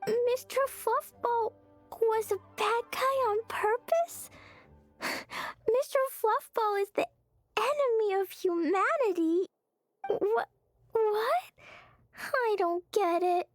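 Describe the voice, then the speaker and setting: A woman voicing an earnest, naive little girl in a video game, childlike and halting. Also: very high-pitched, soft background music. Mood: guilty, bewildered. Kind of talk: anime-style video game acting.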